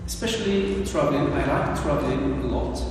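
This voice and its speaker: A man from Algeria whose first language is Arabic, an English teacher answering interview questions slowly and with many pauses. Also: a little high, over music. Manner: slow, measured, with many pauses and restarts. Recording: echoey room, from far off, faint hiss.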